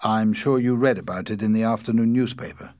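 A man voicing a firm, probing chief inspector in an audiobook, speaking in a measured, formal, insistent way. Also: fairly low pitch, darkish tone, no room sound, studio quality, lightly acted. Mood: grave. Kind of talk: audiobook character dialogue.

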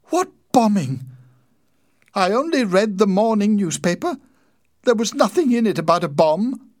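A man's voice: on the high side, sing-song, unhurried, darkish tone, breathy edge, voice pushed up, full character voice. A man voicing a worried, confused married shopkeeper woman in an audiobook, nervous and full of questions. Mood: horrified. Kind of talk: audiobook character dialogue.

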